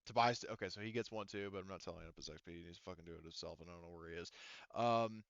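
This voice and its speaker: A man's voice: sits low, rapid-fire, creaky, a bit bright, no room sound, lo-fi sound. A man talking casually and informally, with some swearing, as game master of a tabletop roleplaying game.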